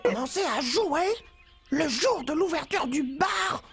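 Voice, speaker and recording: An actor voicing an excitable, anxious little animal in a French children's cartoon, flustered, stammering and exclamatory. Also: high voice, unhurried, vocal fry, faint music behind, heavily acted. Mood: indignant. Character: Monk, excitable anxious small animal lemonade seller.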